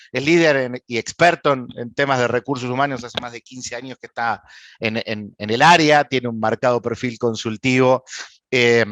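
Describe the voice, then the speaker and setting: A man's voice: mid-range voice, a bit bright, dry recording. A man with an Argentine accent moderating an online panel, introducing speakers enthusiastically with frequent hesitations and fillers.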